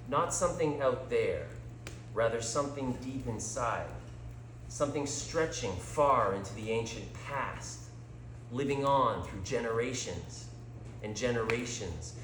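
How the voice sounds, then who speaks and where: A roomy recording with a clear hum carries a man narrating a story. He reads slowly and deliberately, with dramatic pauses. His pitch moves in a lively way across the phrases. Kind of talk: expressive scripted story narration.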